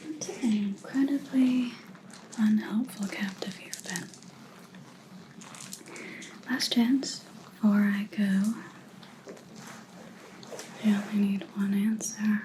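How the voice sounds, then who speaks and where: A woman playing a cold, menacing vampire hunter in a whispered ASMR roleplay, speaking slowly and deliberately with quiet threat. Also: medium pitch, steady tone, a little hiss or hum, lightly acted, poor audio. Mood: quietly menacing.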